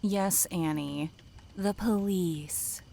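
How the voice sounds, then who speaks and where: A woman's voice: on the low side, drawn-out words, vocal fry, dark tone, full character voice. A woman playing a sassy, teasing young female camp counselor in an audio drama, with a flippant, playful manner.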